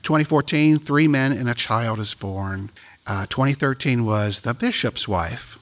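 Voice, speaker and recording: A man hosting an interview, asking quick, jokey questions. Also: mid-range voice. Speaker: interview host. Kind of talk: radio interview.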